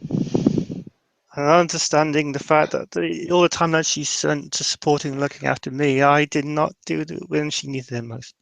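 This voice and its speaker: A man playing an anguished, guilt-ridden, bitter man with halting, emotional delivery. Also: on the high side, level tone, creaky, a bit bright, dry recording, voice pushed up, poor audio. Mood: anguished regret.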